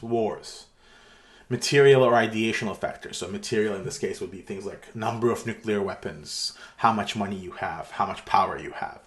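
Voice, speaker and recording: Chatty lecturer from Turkey, roomy echo. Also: male voice, lowish voice. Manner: relaxed, chatty, many fillers. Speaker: university lecturer. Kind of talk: pre-recorded online lecture. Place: home room. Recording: echoey room.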